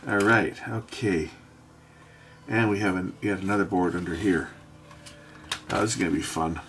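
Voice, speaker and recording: A man with a low, creaky voice narrates a hands-on repair. He talks casually and thinks aloud, pausing as he works things out. There is faint noise behind him, with small clicks from handling parts. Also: steady tone, a bit bright. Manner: thinking aloud, hesitant while working. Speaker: host repairing electronics.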